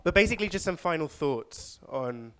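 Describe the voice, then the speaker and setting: A man speaking at a conference, hosting audience questions and then giving measured, reflective closing remarks. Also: on the high side, animated, a bit bright, a little hiss or hum. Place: lecture hall.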